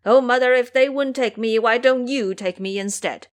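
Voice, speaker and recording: A woman voicing a foolish, lustful pig monk in an audio storybook, loud, whining and pleading. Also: a little high, normal speed, lightly acted. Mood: eager.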